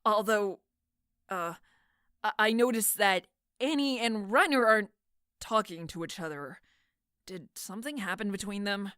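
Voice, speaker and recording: Woman playing a gentle, caring young friend. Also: medium pitch, unhurried, smooth, no room sound, studio quality. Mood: friendly. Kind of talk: fan audio drama acting.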